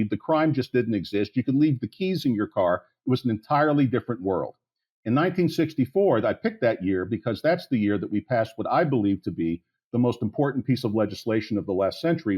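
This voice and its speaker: A man's voice: mid-range voice, a little mellow, slightly rough. Blunt, rambling man in his sixties. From United States, Pittsburgh area. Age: 60s. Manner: blunt, candid, rambling with frequent restarts. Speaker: interview guest. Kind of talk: podcast interview.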